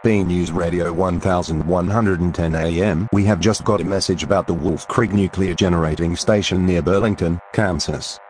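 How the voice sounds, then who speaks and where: A man playing a serious local radio news announcer, reading scripted bulletins in a measured, formal way. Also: low-pitched, steady tone, darkish tone, lightly acted. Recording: noisy broadcast with hum.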